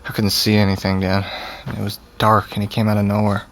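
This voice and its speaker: A young man playing a guarded, sardonic character, terse and deadpan, in a naturalistic audio drama. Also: on the low side, brisk, a little hiss or hum. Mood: groggy, defensive.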